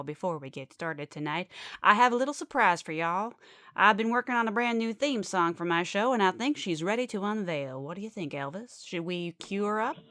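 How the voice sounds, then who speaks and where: A woman's voice: medium pitch, fairly bright tone, smooth, no echo, natural voice. Zealous Southern female preacher, played by an actress, folksy, emphatic late-night radio sermonizer, dry studio sound. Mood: showy, folksy.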